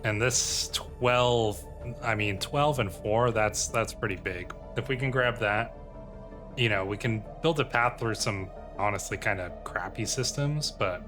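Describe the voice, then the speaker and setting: A man giving casual let's-play commentary as a gaming host, thinking aloud in rambling questions and reading out game text. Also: mid-range voice. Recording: music playing behind the voice. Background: game music.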